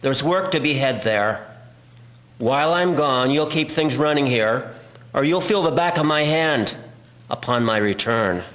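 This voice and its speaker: Male actor as domineering husband, harsh, scolding, commanding, medium-pitched, period radio play. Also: expressive, a little slow, a bit bright. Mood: stern, menacing. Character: harsh, domineering, abusive husband in 1910s rural household. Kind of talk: dramatic historical audio play acting.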